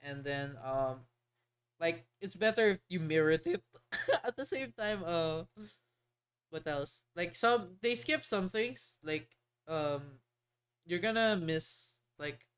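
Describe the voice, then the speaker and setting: A woman's voice: on the low side, animated, slow pace, clear, resonant tone. A woman streamer chatting casually while playing a game, rambling and hesitant as she explains things. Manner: rambling, hesitant, many fillers and restarts.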